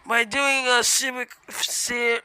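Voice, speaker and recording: Hesitant, very bright high-voiced man. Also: flat delivery, clear, resonant tone. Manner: halting, unsure, trailing off. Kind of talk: hesitant improvised talk.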